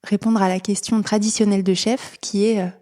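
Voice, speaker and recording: A woman's voice: mid-range voice, level tone, brisk, mellow. A woman hosting a podcast interview, speaking hesitantly with many fillers. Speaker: podcast host. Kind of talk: casual interview talk.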